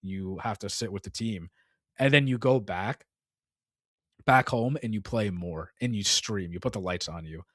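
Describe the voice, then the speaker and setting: A man's voice: lowish voice, moderate pace, dry recording, studio quality. A young man co-hosting a casual gaming podcast, rambling and filling his talk with "like" and "dude.". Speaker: podcast co-host.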